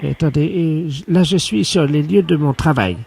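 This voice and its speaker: A man voicing a prim, lovestruck older female secretary in a prank call, speaking formally and hesitantly in a raspy voice. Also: a little high, moderate pace, a little mellow, voice pushed up. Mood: prim, reluctant. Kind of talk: prank phone call character acting.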